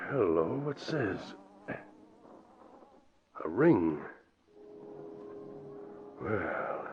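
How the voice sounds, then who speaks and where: An actor playing a greedy, fussy crook, easily flustered and muttering haltingly, in an old-time radio drama. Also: male voice, fairly low pitch. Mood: flustered, puzzled. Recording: muffled, very noisy old radio recording.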